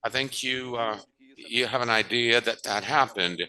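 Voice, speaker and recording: A man interpreting a conference panel talk, halting often and restating phrases as he goes. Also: medium pitch, bright, forceful tone, poor audio.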